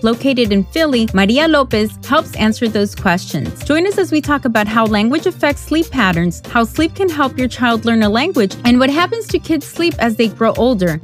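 A woman hosting a parenting podcast, slipping phrases into her while she interviews her guest. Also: a little high. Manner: switches into Spanish phrases, eager interviewer. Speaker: podcast host.

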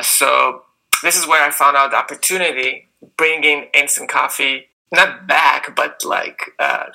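A young man with an Israeli accent, a startup founder answering interview questions casually and haltingly, with many fillers. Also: medium pitch, vocal fry, bright, forceful tone, rough recording.

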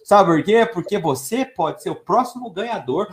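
Upbeat Brazilian host, echoey room. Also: male voice, sits high, lively intonation, moderate pace, a little hiss or hum. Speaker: live stream host. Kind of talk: live stream hosting.

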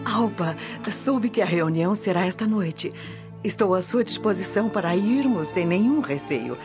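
An actress playing a loyal, devout and resolute Roman matron in a radio drama. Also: female voice, mid-range voice, lively intonation, normal speed, close to an everyday voice. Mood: resolute, supportive. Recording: dull lo-fi radio drama audio. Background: music bed.

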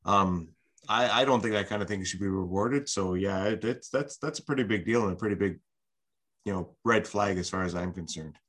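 A man's voice: low voice, a little fast, a bit bright. A man on a sports talk panel, speaking earnestly and often restarting his sentences. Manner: earnest, repeats words when starting. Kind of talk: casual sports chat.

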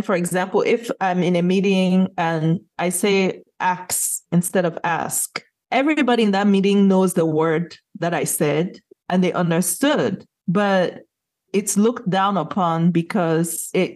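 A woman's voice: lowish voice, a little mellow. A woman, a podcast co-host, talking casually in an earnest, opinionated way and building her points with rhetorical questions. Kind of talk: casual discussion.

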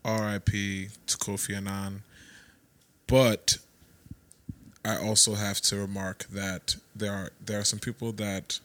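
A young man from Brooklyn co-hosting a podcast, teasing and measured in casual banter. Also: lowish voice, little pitch movement, fairly bright tone, no room sound. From Brooklyn, New York. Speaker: podcast co-host.

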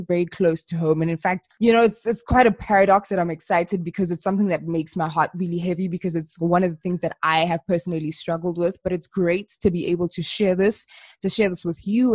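A woman's voice: mid-range voice, a little mellow, dry recording, clean studio sound. A woman hosting a podcast interview over a remote call, enthusiastic and effusive, heaping praise on her guest. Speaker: podcast host.

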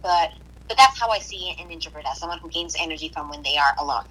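A woman from Puerto Rico chatting casually on a podcast, hesitant, with restarts and soft laughs. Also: deep voice, lively intonation, quick pace, breathy edge, low-quality recording. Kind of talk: casual conversation.

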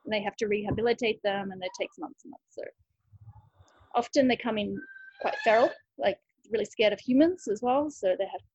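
A woman's voice: medium pitch, a little fast, darkish tone, slightly rough, dry recording, highs cut off. A woman who runs a rescue cat cafe, answering interview questions in a chatty way, thinking aloud with many restarts.

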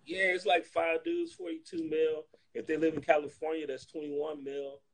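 A man in casual, comedic chat, talking in an animated, slangy, profane joking way. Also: a little high, normal speed, poor audio.

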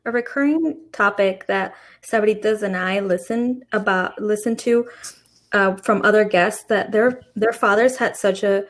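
A woman's voice: medium pitch, a little slow. Woman, podcast host, casual friendly questions, hesitant with fillers.

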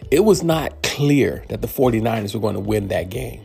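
Gravelly-voiced man in his fifties talking sports. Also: lowish voice, lively intonation, moderate pace, a little mellow, soft background music. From New York. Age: 50s. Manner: rambling, many filler words and restarts. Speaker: podcast host. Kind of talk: solo sports commentary monologue.

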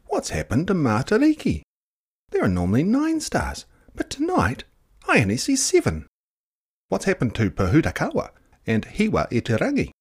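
A man voicing an excitable, fretful old grandmother telling tales, with exclamations and hushed asides. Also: fairly high pitch, normal speed, husky, pitched above the performer's own voice, full character voice. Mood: alarmed.

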